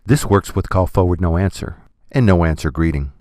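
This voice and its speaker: A man reading a scripted step-by-step software tutorial in a methodical, instructional manner. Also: low-pitched, brisk, no room sound, studio quality.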